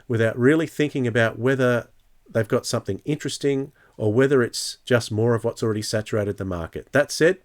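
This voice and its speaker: A man giving his considered opinions in a casual podcast discussion. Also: medium pitch. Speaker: podcast host.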